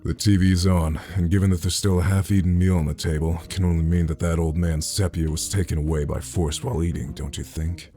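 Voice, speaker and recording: A man playing a gruff, sceptical, commanding gangster in an audio drama, with a curt, deliberate delivery. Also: deep voice, level tone, dark tone, close to an everyday voice. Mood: grim, dismissive. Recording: background music under the voice.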